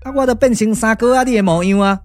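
A man's voice: high-pitched, fast, darkish tone, faint music behind, close to an everyday voice. A man with a Taiwanese accent playing a boastful, bumbling shape-shifting younger brother, chatty and full of exclamations, in a puppet-theatre radio drama. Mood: flustered.

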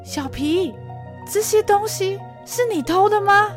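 A woman's voice: very high-pitched, lively intonation, measured pace, over music. A woman voicing a timid, earnest poor young boy in an audio drama, talking in a childlike way. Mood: scolding. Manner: pleading, childlike.

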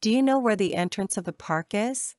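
A woman reading short English phrases for a language lesson, saying each one slowly and carefully twice. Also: mid-range voice, lively intonation, darkish tone, no room sound, clean studio sound. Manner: measured, careful enunciation. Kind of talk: slow repeated drill phrases.

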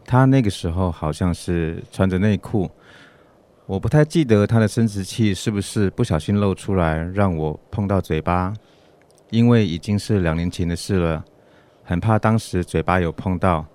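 An anxious man recounts his worry for a radio drama, voiced by an actor. His voice sits low, with a dark, mellow tone. The delivery is a personal letter read aloud. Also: moderate pace, lightly acted. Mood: worried recounting.

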